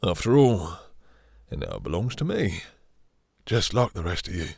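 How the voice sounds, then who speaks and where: A man playing a possessive, seductive vampire master, breathy and husky, purring condescending endearments and commands in an intimate audio roleplay. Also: low-pitched, huge pitch swings, a little slow, darkish tone, no room sound, clean studio sound, heavily acted. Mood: seductive, appraising.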